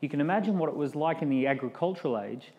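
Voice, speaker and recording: A man's voice: fairly high pitch, lively intonation, quick pace, dull audio. A middle-aged man with an Australian accent giving a conversational keynote talk to a live audience. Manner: conversational, with fillers and restarts. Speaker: keynote speaker. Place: large room with live audience. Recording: long hall reverb.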